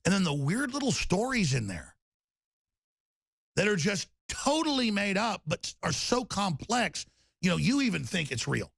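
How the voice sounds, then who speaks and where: A man hosting a talk radio show, raspy and torn-up in tone, rambling emphatically with loud bursts and low mutters. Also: big pitch swings, measured pace, no echo, clean studio sound. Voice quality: raspy, gravelly voice. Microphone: studio microphone.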